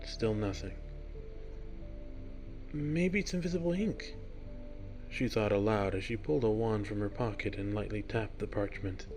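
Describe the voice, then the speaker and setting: A man's voice: lowish voice, level tone, a little fast, hardly any pauses, a little mellow, low-quality recording. A man narrating a scripted fiction story as its reader. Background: music.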